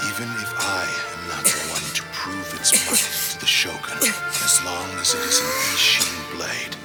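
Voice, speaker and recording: Video game blade spirit: proud, weary, formal, very low register, loud score behind. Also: male voice, exaggerated voice. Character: spirit of a cursed blade, solemn, fading, proud. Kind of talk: scripted dramatic game acting. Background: music.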